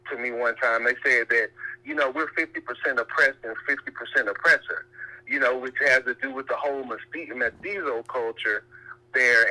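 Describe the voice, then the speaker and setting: A man calling in to share opinions conversationally, halting and circling back to rephrase his points, over a telephone-like line. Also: medium pitch, bright, forceful tone. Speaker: caller.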